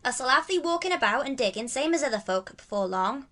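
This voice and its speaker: A woman plays a stout-hearted Yorkshire lad in a storybook. The voice is fairly high and very bright, cheerful and reassuring. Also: expressive, brisk. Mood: encouraging. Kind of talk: audiobook character voice.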